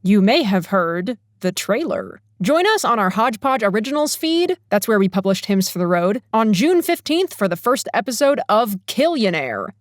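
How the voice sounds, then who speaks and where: A woman's voice: medium pitch, very animated, moderate pace, few pauses, no echo, studio quality. A woman hosting a podcast, reading scripted announcements in a playful way and addressing listeners directly. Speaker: podcast writer and director, host.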